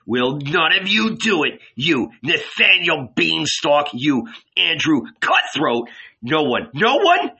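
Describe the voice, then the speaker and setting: Storybook innkeeper, played by a male narrator: coarse, angry, blunt swagger, sharp forceful delivery. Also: a little high, sing-song, slow, creaky, breathy edge, dull audio, pitched above the performer's own voice, full character voice. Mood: furious, scornful. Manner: bellowing, cursing, scornful.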